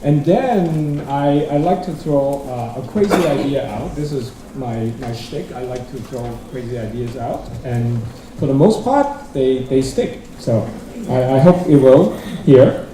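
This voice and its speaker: A man's voice: mid-range voice, expressive, unhurried, dark tone, a little hiss or hum. A middle-aged man from Hong Kong giving a rambling, self-deprecating conference talk, restarting his sentences often. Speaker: guest speaker. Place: large lecture hall. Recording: echoey hall from far off.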